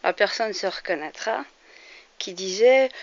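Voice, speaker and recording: A woman's voice: medium pitch, measured pace, no echo. A woman in her forties from France, speaking to camera in an earnest opinion monologue that turns heated and emphatic, with frequent hesitations. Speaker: vlogger. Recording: slightly dull lo-fi recording.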